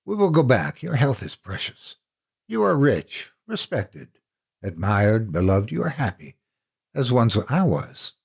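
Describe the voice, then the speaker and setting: A man playing a cunning, vengeful gentleman in a gothic horror story, speaking with deliberate, flattering courtesy. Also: mid-range voice, vocal fry, husky, heavily acted. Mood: feigned concern. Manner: flattering, deliberate, falsely reluctant.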